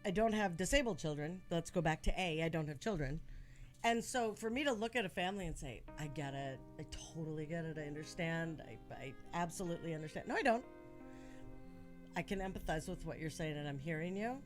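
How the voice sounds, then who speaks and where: An American woman with a somewhat low voice speaks earnestly in relaxed conversation. She leans on key phrases and repeats them for emphasis. A little music sits quietly in the background. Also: a little fast. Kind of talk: casual conversational discussion.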